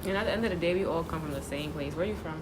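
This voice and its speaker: A woman's voice: deep voice, very fast, noisy background. A young woman chatting casually and in slang as a podcast co-host. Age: young adult. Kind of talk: casual chat.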